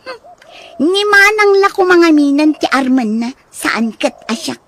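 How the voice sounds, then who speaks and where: A woman's voice: sits high, lively intonation, unhurried, fairly bright tone, slight background noise, heavily acted. A woman playing a snobbish, snappish young sister in an Ilocano audio drama, with an Ilocano accent. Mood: haughty, irritated. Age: young adult. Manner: snobbish, dismissive. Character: haughty, snappish young college-graduate sister, scornful of suitor.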